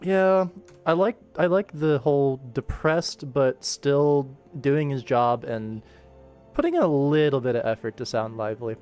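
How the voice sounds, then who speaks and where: A man's voice: medium pitch, measured pace, a little mellow, clear, resonant tone. A man giving casual, musing livestream commentary as he directs animation voice work. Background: background game music.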